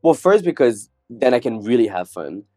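A man's voice: medium pitch, very animated, moderate pace, dark tone, dry recording, clean studio sound, soft background music. Man, candid and joking. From France. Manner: candid, joking, acts out quotes. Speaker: guest. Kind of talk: casual interview chat.

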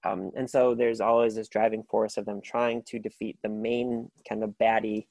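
A man with a low, gravelly voice hosts a book podcast on his own. He rambles warmly through his picks, full of ums and restarts. The sound is close and dry. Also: little pitch movement, moderate pace, no room sound. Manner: rambling, filler-heavy, enthusiastic. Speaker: podcast host. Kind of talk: casual solo book recommendations.

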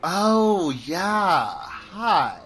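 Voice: medium pitch, very animated, drawn-out words, a bit bright, husky, full character voice. An actor playing a bitchy, demanding drag queen star in a comedic audio drama, haughty and theatrical. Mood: dismissive.

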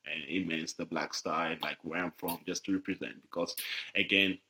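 A man's voice: low-pitched, fairly even intonation, normal speed, sharp tone. A young man from Ghana talking easily in a casual podcast interview, with many fillers and laughs. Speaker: guest, jiu-jitsu athlete.

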